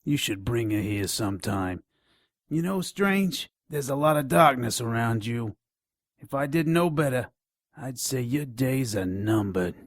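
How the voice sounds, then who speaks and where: A man's voice: mid-range voice, expressive, measured pace, no echo, clean studio sound. A man playing a crude, taunting nonhuman pawn shop dealer in an audio drama. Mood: taunting, ominous. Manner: taunting, contemptuous.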